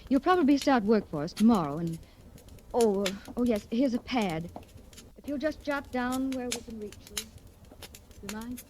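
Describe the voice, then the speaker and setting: An actress playing a wealthy, persuasive wife in a 1940s radio drama, with poised, insistent, deliberate phrasing. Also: female voice, a little high, expressive, close to an everyday voice. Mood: commanding, persuasive. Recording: slightly noisy old radio recording.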